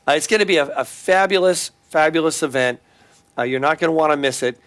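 A man's voice: fairly high pitch, fairly quick, fairly bright tone. A middle-aged man with a Californian accent, an attorney talking earnestly on a talk show, making announcements and telling a case story with frequent restarts. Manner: earnest, repeats web address, many restarts.

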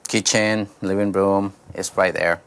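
A man, a pastor, telling his life story in a studio interview, halting and searching for words. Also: low-pitched, fairly even intonation, a bit bright, clear, resonant tone. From Colombia. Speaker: pastor, guest. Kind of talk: personal testimony interview.

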